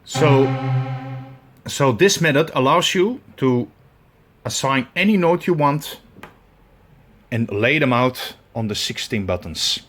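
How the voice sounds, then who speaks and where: A man with a Belgian accent giving a hands-on tutorial, talking in halting steps with many fillers as he searches for words. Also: medium pitch, lively intonation, fairly bright tone. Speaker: tutorial presenter. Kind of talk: instructional demonstration.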